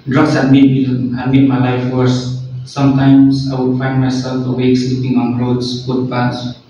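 A man, steadily reading a personal recovery testimony at a podium, with frequent throat clearing. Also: medium pitch, slight background noise. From India. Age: 20s. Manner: steady, reads slowly with small restarts, clears throat often. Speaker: recovering addict giving testimony. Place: indoor room at a podium. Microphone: far camera. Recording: echoey room from far, dull lo-fi.

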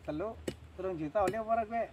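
A man's voice: high voice, very fast, highs cut off. A young man with a Javanese accent chatting casually outdoors, picked up by a camera a few metres away. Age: young adult. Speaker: buyer, fellow vlogger. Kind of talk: casual chat. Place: outdoors on grass by a rice field. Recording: noisy outdoor camera audio.

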